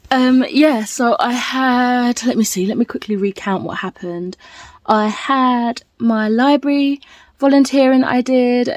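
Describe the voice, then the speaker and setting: A woman's voice: mid-range voice, darkish tone, dry recording. A woman talking casually to her viewers as a book vlogger, in a playful, rambling, self-deprecating way. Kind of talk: casual chatty vlog to viewers.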